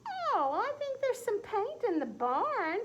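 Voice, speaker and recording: A woman voicing a fussy female farm cat who speaks slowly and judgingly in a storybook reading. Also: extremely high pitch, wildly swooping pitch, muffled recording, background noise, voice pushed up, heavily acted. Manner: prissy, slow and judging.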